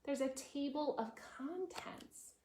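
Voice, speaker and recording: A woman's voice: high voice, slow pace, mellow. A woman hosting a preschool storytime, reading aloud and chatting expressively, with lots of questions for her young listeners. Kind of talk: children's read-aloud with chatty explanations. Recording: echoey room with background noise.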